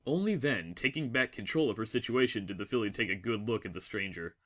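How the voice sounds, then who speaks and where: A man giving a scripted, solemn and measured narration of a dramatic story. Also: on the low side, lively intonation, a bit bright.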